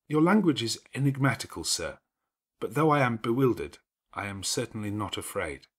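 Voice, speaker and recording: A man voicing a grave, composed young governess in a classic novel, with measured, restrained and formal speech. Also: medium pitch, sing-song, husky. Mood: reserved, guarded. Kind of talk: dramatized classic novel audiobook reading.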